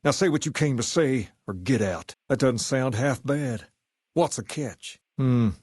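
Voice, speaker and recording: An actor playing a suave, folksy gang leader in a video game, with a drawl and a cool swagger. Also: male voice, medium pitch, a little mellow, dry recording, studio quality, lightly acted. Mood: confrontational, then weighing it up. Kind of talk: video game dialogue acting.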